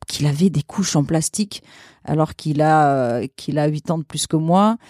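A woman's voice: deep voice, moderate pace, darkish tone, no echo, clean studio sound. A woman in her thirties from Portugal, a podcast guest chatting casually with many hesitations and frequent laughter. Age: 30s. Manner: chatty, many fillers and restarts, laughs often. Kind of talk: casual conversational storytelling.

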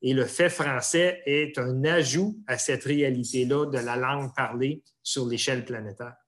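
A man from Canada with a Canadian French accent, answering interview questions in a measured, hesitant way with many pauses. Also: medium pitch, fairly even intonation, fairly bright tone.

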